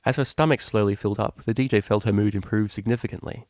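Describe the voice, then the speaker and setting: A man's voice: on the low side, steady tone, quick pace, a little mellow, no room sound, studio quality. A man narrating a story as a reader, in scripted storybook style. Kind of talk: narrated fan fiction reading.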